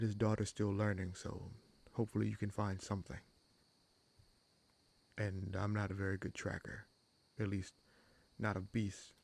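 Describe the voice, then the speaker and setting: A man playing a gruff but caring human bandit leader in a fantasy audio roleplay, wry and protective, with informal, halting phrasing. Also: a little mellow. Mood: steady, concerned. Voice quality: gravelly, husky low voice.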